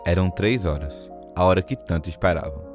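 A man's voice: low-pitched, brisk, over loud music. A man giving scripted literary narration for an audio drama.

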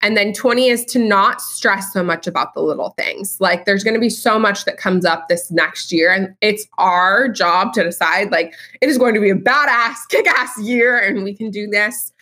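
A woman's voice: mid-range voice, moderate pace, few pauses, bright, forceful tone. A woman hosting a podcast, upbeat and bubbly, peppering her talk with "like". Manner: bubbly, upbeat, says "like" a lot. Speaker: podcast host. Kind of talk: casual podcast chat.

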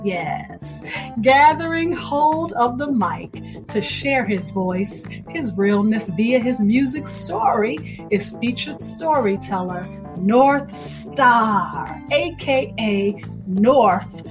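A woman radio host reading a scripted introduction of a featured storyteller in a deliberate, emphatic way. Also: a little high, animated. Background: music, plucked guitar.

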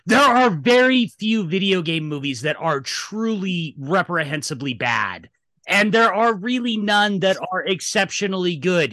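A man with a bright, slightly high voice talks casually as a podcast co-host. He is opinionated and emphatic, swears freely, and the sound is very dry and close. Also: no echo. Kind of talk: casual film discussion, opinion rant.

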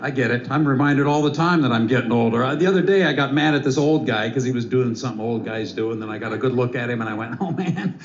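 A middle-aged man doing stand-up comedy, telling animated self-mocking stories to a live audience. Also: medium pitch, fast talker, hardly any pauses, crowd noise. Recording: roomy live club recording, audience laughter, dull sound. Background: audience laughter.

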